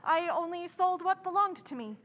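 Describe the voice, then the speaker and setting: Woman doing headstrong young tomboy in a radio play, super high and bright, echoey hall, muffled recording. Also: lively intonation, moderate pace. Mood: proud, defiant. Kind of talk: old-time radio drama acting. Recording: dull recording in a reverberant hall.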